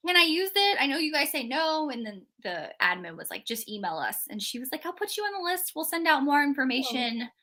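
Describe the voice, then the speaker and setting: A woman's voice: sits high, sing-song, brisk, few pauses, pushed and bright. A woman co-hosting a live book readalong show, chatting casually and animatedly with a friend. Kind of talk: casual chat between friends.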